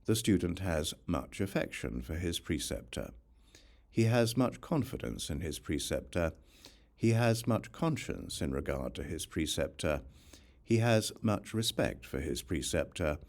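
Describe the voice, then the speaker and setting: A male narrator with a very low voice reads a religious text aloud as an audiobook. His delivery is measured and formal, with a lively, varied melody across each phrase. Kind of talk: scripted narration of a scripture translation.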